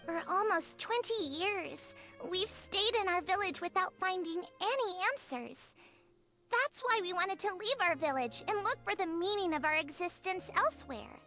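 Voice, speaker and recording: High, childlike, earnest young fairy creature. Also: female voice, expressive, normal speed, pushed and bright, exaggerated voice. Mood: wistful, hurt. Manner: polite, earnest. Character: young gentle female fairy-like creature, earnest, innocent, hopeful. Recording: music behind the voice.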